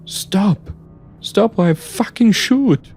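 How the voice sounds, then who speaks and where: A man's voice: mid-range voice, expressive, moderate pace, breathy edge, soft background music, voice pushed up. A man voicing a panicked, reluctant criminal accomplice in an audio story, agitated and pleading. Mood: frantic, defiant. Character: panicked, reluctant male accomplice refusing to kill.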